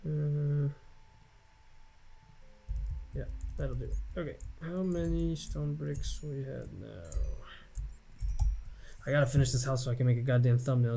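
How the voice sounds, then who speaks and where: A man's voice: a little high, darkish tone. An American man in his late twenties, rambling and self-mocking as he thinks aloud over gameplay commentary at his home computer. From United States. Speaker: Let's Play gamer.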